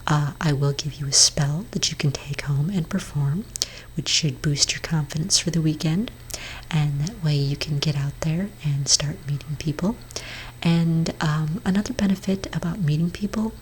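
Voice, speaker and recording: Woman as witch therapist, ASMR roleplay, low-pitched breathy whisper, gentle, reassuring, hesitant, noisy ambient background. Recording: clearly audible background noise.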